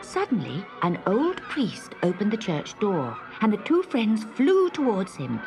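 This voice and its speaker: A woman narrating a children's story from a script in a dramatic, animated way. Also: medium pitch, a little slow, over music, poor audio.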